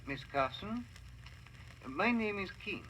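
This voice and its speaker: Kindly old investigator Mr. Keen. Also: male voice, high voice, very animated, takes it slowly, sharp tone, heavily acted, rough recording. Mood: kindly, courteous. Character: kindly old male investigator introducing himself politely. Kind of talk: 1940s radio acting. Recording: old radio recording, faint noise.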